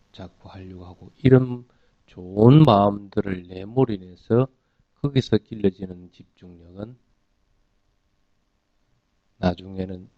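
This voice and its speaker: Man, Buddhist teacher, didactic explanatory sermon, medium pitch, dry close sound, dull lo-fi recording. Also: dry recording. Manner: didactic, poses questions to listeners and answers them. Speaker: Buddhist teacher giving a dharma talk. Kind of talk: explanatory sermon with everyday examples.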